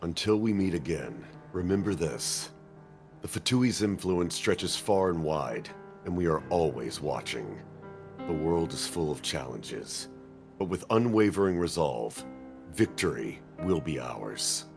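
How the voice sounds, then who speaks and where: A man voicing a stern, imposing male military commander, speaking in a slow, solemn proclamation. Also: deep voice, lively intonation, gravelly, faint music behind, exaggerated voice.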